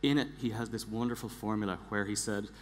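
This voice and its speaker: A man in his forties giving a prepared conference talk on stage in an emphatic, deliberate manner, repeating words for weight. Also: fairly low pitch, steady tone. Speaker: conference speaker, engineering director. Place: large theatre stage, indoors. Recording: echoey large hall, clear background hum.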